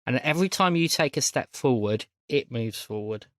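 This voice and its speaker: A man's voice: mid-range voice, expressive, normal speed, fairly bright tone, no room sound, clean studio sound. A man narrating a tabletop role-playing game as game master.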